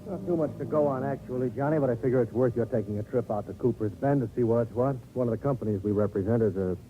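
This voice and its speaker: An American actor playing a folksy, wry insurance adjuster in a 1950s radio drama. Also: male voice, medium pitch, fast, natural voice. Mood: cautioning, matter-of-fact. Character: folksy, wry male insurance bureau adjuster handing out assignments. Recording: tinny old radio, faint noise.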